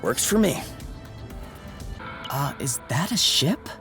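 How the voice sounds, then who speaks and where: A man's voice: medium pitch, sing-song, slow pace, sharp tone, faint music behind, heavily acted. A man playing an easygoing, reassuring young man in a video game, speaking casually and earnestly with a tentative, hopeful touch. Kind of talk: video game cutscene acting.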